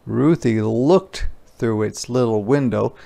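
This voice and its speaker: A man narrating a children's picture book aloud in a deliberate, expressive storytelling style. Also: mid-range voice, creaky.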